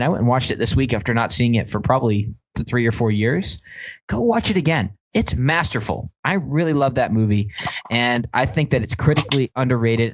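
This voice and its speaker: A man co-hosting a podcast, giving his opinions casually in an earnest, emphatic way. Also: mid-range voice. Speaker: podcast co-host.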